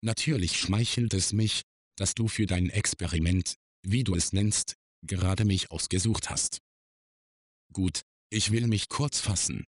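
An actor playing a wry, self-reflective man giving a deliberate, formal monologue in an audio drama. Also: sits low, no room sound, clean studio sound.

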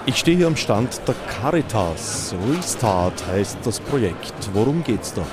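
A man's voice: medium pitch, big pitch swings, unhurried, a crowd in the background. A man interviewing exhibitors at a fair with brief, direct questions. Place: busy indoor fair hall.

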